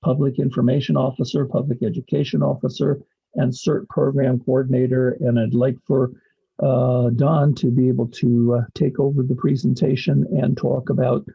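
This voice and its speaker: Man, very creaky husky voice, host introducing a speaker, slideshow presentation, dull close video-call audio. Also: mid-range voice, little pitch movement, moderate pace, no room sound. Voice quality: gravelly, husky.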